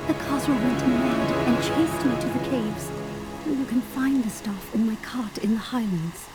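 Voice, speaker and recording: A woman's voice: on the high side, a little slow, loud music behind the voice, natural voice. An actress playing a rattled, talkative woman thief who has been rescued, in a video game. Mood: sheepish, earnest.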